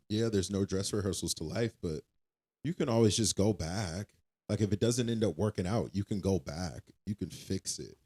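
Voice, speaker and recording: Deep guy co-hosting a faith podcast, slow and thoughtful, repeats himself a lot. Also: mellow. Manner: reflective, repeats himself, trails off. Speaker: podcast co-host. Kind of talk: casual faith-based chat.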